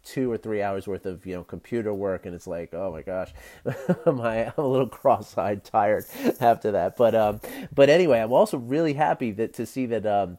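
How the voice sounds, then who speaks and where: A man hosting his own podcast, talking in a rambling, earnest way with lots of fillers and soft laughs, his voice scratchy and tired. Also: lowish voice. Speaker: podcast host. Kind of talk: casual solo podcast talk.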